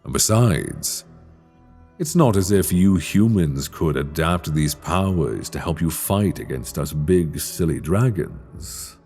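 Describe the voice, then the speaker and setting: A man playing an ancient, proud and regretful dragon king, telling his story in a husky, brooding, theatrical way for an ASMR dark fantasy roleplay. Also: deep voice, animated, slow pace, faint music behind, exaggerated voice. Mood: wry, tender. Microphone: close binaural microphone.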